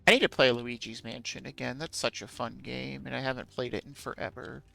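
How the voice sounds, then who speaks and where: A man's voice: medium pitch, steady tone, brisk, gravelly, bright, forceful tone, soft background music, poor audio. A man streaming a game, chatting casually with viewers in a musing, self-deprecating way.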